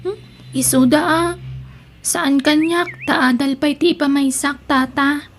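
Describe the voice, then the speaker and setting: A woman's voice: high-pitched, steady tone, slow, a little hiss or hum. A woman playing a timid, obedient young schoolgirl daughter in an audio drama, hesitant in her short replies. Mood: shy, hesitant.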